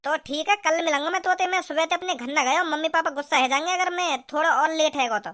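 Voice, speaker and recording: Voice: high-pitched, fast talker, sharp tone. A performer voicing a grumbling, timid young male cartoon sidekick, complaining in a rustic Braj North Indian accent. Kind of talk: cartoon comedy dubbing.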